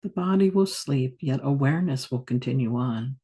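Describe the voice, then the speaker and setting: A woman meditation teacher guiding a yoga nidra practice in a soft, soothing, unhurried manner with long pauses. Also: rumbling low, animated, breathy edge, muffled recording.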